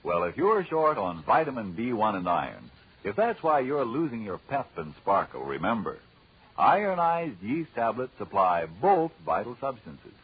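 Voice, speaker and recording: A man working as a 1940s radio announcer, delivering polished, emphatic sponsor pitches. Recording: muffled, husky-sounding 1940s radio recording.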